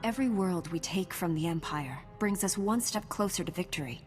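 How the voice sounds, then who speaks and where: A woman's voice: fairly low pitch, expressive, a little fast, soft background music, lightly acted. An actress voicing a resolute, self-assured female Jedi Knight in a video game, cool in manner.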